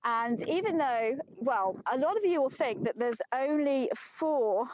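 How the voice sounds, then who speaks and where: An English woman in middle age leads a tour outdoors, talking in a chatty, self-mocking way with a tired edge. Her voice sits a little high. The sound is dry and dull, like a phone stream. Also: expressive, slow, no room sound. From England. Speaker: tour guide. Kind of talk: live-streamed walking tour. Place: outdoors on an open plateau.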